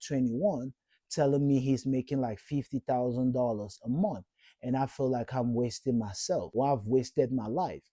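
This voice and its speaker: A man's voice: mid-range voice, mellow, no echo. A man in his thirties from Cameroon, giving an earnest, encouraging motivational talk to camera and repeating points for emphasis. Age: 30s. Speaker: vlogger.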